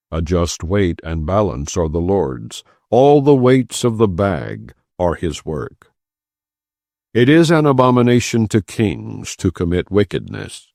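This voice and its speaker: A man with a low voice reads scripture aloud as an audiobook narrator. His delivery is slow and formal, with lively rises and falls in pitch. The reading is solemn and measured, without dramatization. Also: gravelly, dry recording, clean studio sound.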